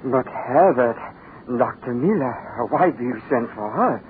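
A man's voice: mid-range voice, lively intonation, unhurried, lightly acted. An actor playing a doubtful, awed village man in a radio drama, hesitant and questioning. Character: doubtful, awed male villager, mayor's neighbour. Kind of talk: 1940s radio drama acting. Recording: tinny old radio recording, faint hiss.

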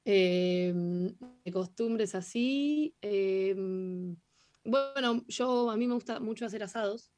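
A woman's voice: mid-range voice, slow pace, darkish tone. A young woman with an Argentine accent answering interview questions casually, with many fillers and restarts. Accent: Argentine Spanish. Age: young adult. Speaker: interviewee, hockey player.

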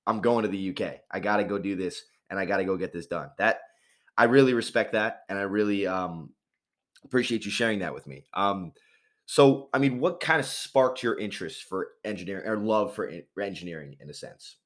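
Enthusiastic low-voiced sports radio host. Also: male voice, lively intonation, brisk. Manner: enthusiastic, effusive, repeats praise. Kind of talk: casual interview hosting.

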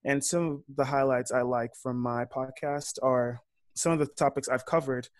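A man's voice: medium pitch, fairly even intonation, normal speed, no room sound. Earnest young man, dry sound. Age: young adult. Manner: earnest, eager to debate. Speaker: podcast host, guest. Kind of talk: casual interview chat.

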